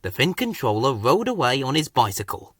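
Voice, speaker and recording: A man's voice: medium pitch, sing-song, brisk, fairly bright tone, breathy edge, no room sound, clean studio sound. A man reading a scripted children's story as its narrator.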